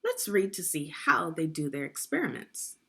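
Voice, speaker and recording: A woman's voice: low-pitched, sing-song, normal speed, a bit bright. A woman narrating a guided read-along for children, speaking in an instructive way and putting questions to young listeners. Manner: clearly enunciated, instructive, addressing young learners.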